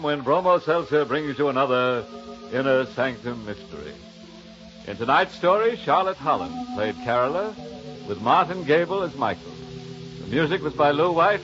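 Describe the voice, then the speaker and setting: An actor playing a ghoulishly jovial horror host, theatrical and sardonic, in 1950s radio style. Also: male voice, medium pitch, animated, moderate pace, lightly acted. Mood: sly sign-off. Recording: dull 1950s radio recording, music behind.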